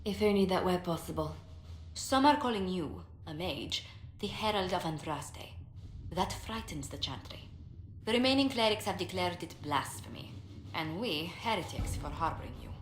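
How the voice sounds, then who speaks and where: An actress playing a poised, tactful advisor in a fantasy video game, speaking politely and with measured diplomacy. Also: female voice, on the low side, lively intonation, lightly acted. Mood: concerned, explanatory. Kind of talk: video game cutscene acting. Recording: roomy echo, noisy ambience.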